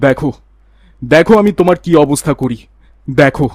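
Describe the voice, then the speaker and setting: A man's voice: on the high side, normal speed, darkish tone, dry recording, clean studio sound, close to an everyday voice. A man voicing a menacing, vengeful young street harasser in a cartoon. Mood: threatening. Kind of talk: cartoon villain dialogue.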